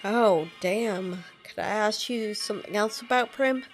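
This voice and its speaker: A woman's voice: medium pitch, unhurried, soft background music. Hesitant young woman asking questions. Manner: hesitant, with filler words. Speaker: player voicing her character. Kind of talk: casual game voice-acting.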